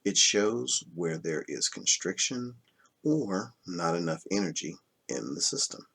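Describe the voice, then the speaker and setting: Deep, husky male audiobook reader. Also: sing-song, a little slow, a bit bright. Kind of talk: scripted narration of a self-help book.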